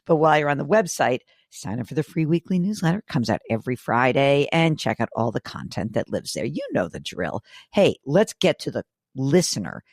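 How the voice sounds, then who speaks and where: A woman hosting a call-in money advice show, hoarse and raspy today, asking brisk, direct questions. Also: extremely low pitch, sing-song, a little mellow, dry recording, clean studio sound. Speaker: host.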